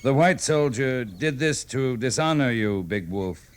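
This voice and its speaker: A man playing a calm, authoritative American cavalry captain, measured and terse, in an old-time radio drama. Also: mid-range voice, natural voice. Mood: earnest, firm. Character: steady, authoritative frontier cavalry captain, calm under threat.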